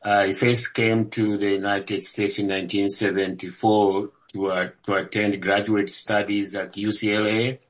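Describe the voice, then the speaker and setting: An older man from Zambia and South Africa introducing himself as a candidate at a public meeting, speaking in a measured way with frequent hesitations. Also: fairly low pitch, flat delivery, rough recording. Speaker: board candidate.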